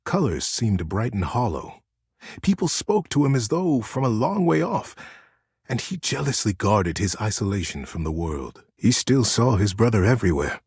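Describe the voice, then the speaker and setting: An actor voicing a gentle, earnest storyteller character from a game, narrating a bedtime tale with dramatic pauses. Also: male voice, on the low side, big pitch swings, slightly rough, no echo, clean studio sound, full character voice. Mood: melancholy. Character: gentle male teddy bear storyteller, tender and earnest.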